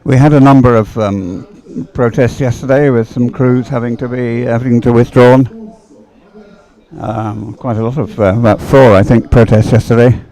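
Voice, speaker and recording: A man giving live, unscripted sports commentary as a race commentator, with a halting, rambling delivery full of hesitation. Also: mid-range voice, fairly even intonation, warm tone, rough recording.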